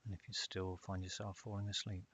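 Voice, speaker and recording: A man narrating a guided meditation in a calm, measured way with long pauses. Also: deep voice. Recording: dull lo-fi audio with hiss.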